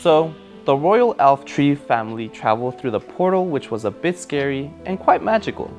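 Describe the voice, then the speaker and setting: A man playing an eager, encouraging schoolteacher who reads fairy tales aloud to children in an animated, coaxing way. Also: a little high, moderate pace, music in the background. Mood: storytelling. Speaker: teacher and storyteller. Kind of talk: children's read-aloud storytelling.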